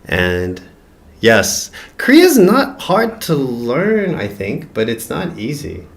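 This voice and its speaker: A man's voice: medium pitch, wildly swooping pitch, takes it slowly. A man from the United States hosting a casual livestream chat, blunt and teasing with his guest. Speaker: channel host.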